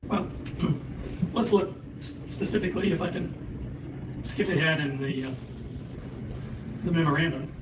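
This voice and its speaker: A man arguing formally before a judge, voice going hoarse, speaking in measured phrases with many hesitations and throat clearing. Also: fairly high pitch, noisy background. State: losing his voice. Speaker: special prosecutor arguing in court. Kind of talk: formal courtroom argument. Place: courtroom. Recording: roomy courtroom audio from a distant mic.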